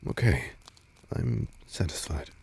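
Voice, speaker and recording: A man playing a tender, teasing but insecure vampire lover in an intimate roleplay audio drama, murmuring breathily and haltingly, often slipping into whispers. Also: darkish tone, no room sound, lightly acted. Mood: concerned, breathless.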